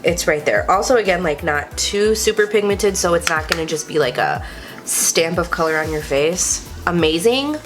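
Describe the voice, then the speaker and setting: A woman's voice: lowish voice, animated, normal speed, soft background music. A young woman, congested from a cold, chatting casually and gushing about makeup with lots of "like" fillers. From Texas. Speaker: beauty vlogger. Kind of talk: casual chatty product review.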